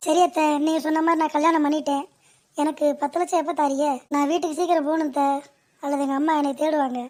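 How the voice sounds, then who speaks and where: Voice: high voice, little pitch movement, fast, a little mellow, close to an everyday voice. A performer voicing a sly young bridegroom in a cartoon comedy, glib and evasive, forever making excuses. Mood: pleading.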